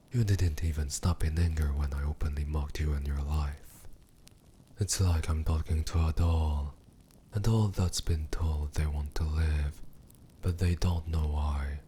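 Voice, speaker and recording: An extremely deep, dark demon king played by a man speaks slowly and deliberately in an ASMR roleplay. He is cruel and possessive, with a condescending, coolly amused menace. The voice is mellow and breathy, over a faint low rumble. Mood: cold, probing. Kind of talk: scripted ASMR character roleplay.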